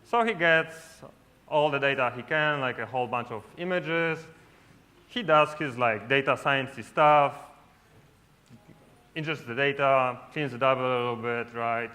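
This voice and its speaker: Bright-voiced man giving a talk. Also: mid-range voice, a little slow. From Poland. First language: Polish. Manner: casual, peppers sentences with "right?". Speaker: conference speaker. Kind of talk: conference talk. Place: large lit stage.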